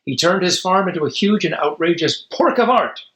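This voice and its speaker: A man with a slightly high voice reads a children's storybook aloud. He stresses and stretches key words for drama and drops into casual asides. The room around him is noticeably echoey. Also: animated, normal speed, fairly bright tone. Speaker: storybook reader.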